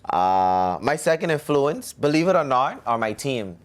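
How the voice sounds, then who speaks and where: A man's voice: medium pitch, sing-song, a little slow. A young man from the Bahamas, a musician answering interview questions in a cocky, self-promoting way.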